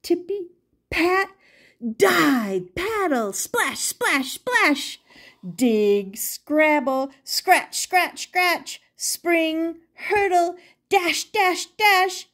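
A woman's voice: very high-pitched, expressive, very slow, fairly bright tone. An older woman, a grandmother, reading a children's picture book aloud expressively and putting questions to her young listeners. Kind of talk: animated children's storybook read-aloud.

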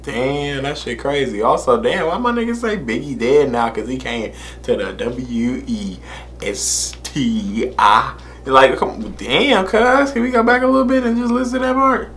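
A man's voice: mid-range voice, animated, unhurried, fairly bright tone, slight background noise. A young man, reacting casually to a music video from a small room and talking into a desk camera. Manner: laid-back, laughs often, repeats "man" and "you know what I'm saying". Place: small room indoors, plain wall behind.

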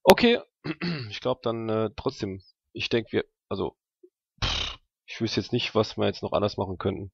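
Low-voiced man thinking aloud, slightly dull sound. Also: expressive, normal speed, vocal fry, breathy edge, dry recording. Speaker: tabletop role-playing player. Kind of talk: casual game-table chat.

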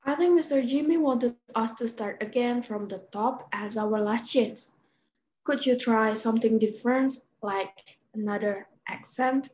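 A young woman playing a patient film producer in an amateur dramatic reading, speaking in a measured, matter-of-fact way. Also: a little high, darkish tone, lightly acted. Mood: patient, firm. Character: patient film producer handling a difficult star. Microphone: video call. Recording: dull, roomy, noisy video-call sound.

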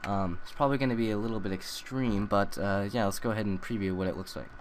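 A young man talking through a software tutorial in a loose, rambling way, full of ums and uhs. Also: lowish voice, fast, a little hiss or hum. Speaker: tutorial maker. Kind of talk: casual screen-recorded tutorial.

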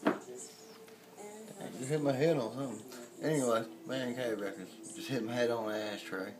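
A drunk young man joking and rambling rowdily while filming a late-night party in a small home bar room, swinging between shouts and mumbles. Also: medium pitch, fairly even intonation, moderate pace, over music. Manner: rowdy, slurring, joking, often shouting or mumbling.

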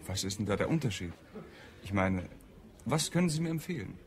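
An actor playing a puzzled, impatient restaurant customer with a questioning, hurried manner in a radio drama. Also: male voice, on the low side, lively intonation, crowd noise.

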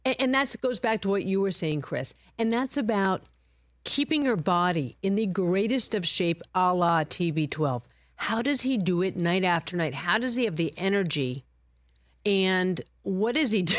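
A woman guest-hosts a sports talk show from a TV studio desk. She talks in a lively, wry and playful way into a close broadcast mic. The sound is dry and close. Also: medium pitch, normal speed, no echo. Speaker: guest host.

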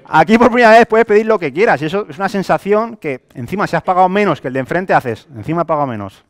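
A man in his late twenties with an accent from Spain, giving a colloquial, animated sales presentation to a live audience. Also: sits high, quick pace. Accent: Spain Spanish. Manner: animated, colloquial, rhetorical questions and acted-out examples. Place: hotel meeting room with a small audience, indoors.

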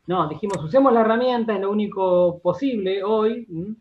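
A man's voice: sits high, moderate pace, highs cut off. An Argentine man explaining things as an interview guest, repeating words and often checking in with short tag questions. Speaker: radio drama director, interview guest.

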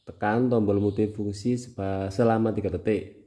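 A man's voice: fairly low pitch, fairly even intonation, moderate pace, warm tone. A man with a Javanese Indonesian accent, giving a casual how-to demonstration as a tutorial host. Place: indoors at home, small room.

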